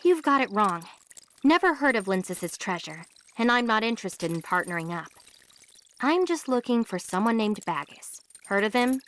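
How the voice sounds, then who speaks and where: A woman's voice: medium pitch, big pitch swings, moderate pace, fairly bright tone. An actress playing a young, determined female adventurer in an anime game, inquisitive and unimpressed. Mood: unimpressed, direct. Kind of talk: English anime game voice acting.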